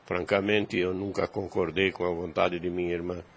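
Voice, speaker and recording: A man playing a grave, kindly older priest and uncle in an audio drama, speaking in a measured, formal, fatherly way. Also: low voice, little pitch movement, dull audio. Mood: grave, reflective. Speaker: voice actor. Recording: clear background noise.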